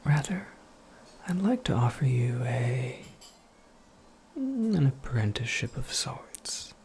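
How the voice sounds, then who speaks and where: A performer voicing an eccentric, absent-minded witch in a breathy, creaky ASMR roleplay, rambling with wry, cryptic politeness. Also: mid-range voice, very animated, slow pace, a little mellow, a little hiss or hum. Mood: musing, cryptic. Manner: rambling, whimsical, politely formal, self-correcting. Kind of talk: soft-spoken ASMR roleplay monologue.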